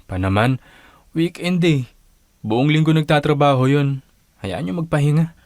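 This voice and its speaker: An actor playing a reluctant, soft-hearted young son who protests plaintively in a Tagalog radio drama. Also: male voice, fairly high pitch, moderate pace, dry recording, studio quality, lightly acted. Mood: exasperated.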